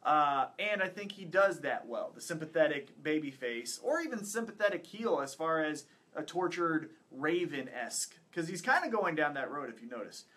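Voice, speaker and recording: Bright-voiced, higher-pitched man co-hosting. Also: big pitch swings, normal speed, hardly any pauses. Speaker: podcast co-host. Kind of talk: casual wrestling predictions chat. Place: small indoor studio with acoustic foam panels.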